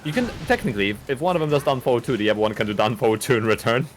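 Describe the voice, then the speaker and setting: A man's voice: mid-range voice, lively intonation, racing, a little hiss or hum. A man co-commentating a fighting-game tournament, chatty and rambling and often laughing. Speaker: esports co-commentator.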